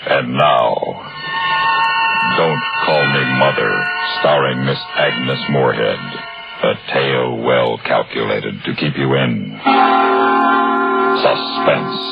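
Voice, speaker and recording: Very deep, ominous male radio announcer. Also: steady tone, vocal fry. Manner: ominous, deliberate. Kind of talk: dramatic 1950s radio suspense announcing. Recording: muffled old radio, loud dramatic music.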